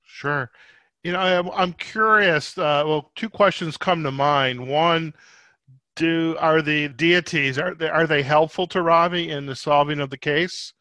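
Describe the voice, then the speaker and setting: A man's voice: on the high side, unhurried, bright, forceful tone, no echo. A man hosting a podcast interview, asking conversational questions in a roundabout, rephrasing way.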